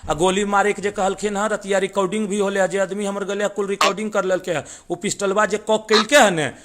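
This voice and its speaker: Bihari male caller, high voice, pressing and aggrieved, heated dialect argument on a recorded phone call. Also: level tone, fairly quick, a bit bright. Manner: insistent, aggrieved.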